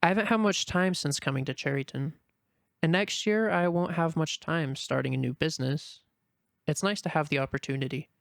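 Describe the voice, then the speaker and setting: A man voicing a shy, earnest young female rabbit in an amateur dramatic reading, speaking softly and from the heart. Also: fairly high pitch, fairly quick, gravelly, no echo, clean studio sound. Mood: warm. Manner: soft, heartfelt, anxious inner murmuring. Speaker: voice actor in a fan reading.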